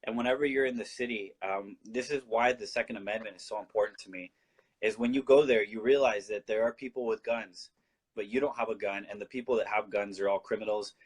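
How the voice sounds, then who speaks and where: A man's voice: on the low side, steady tone, brisk, husky, rough recording. A man from Colombia, a guest in a casual talk-show discussion, explaining his views earnestly and often restarting his sentences.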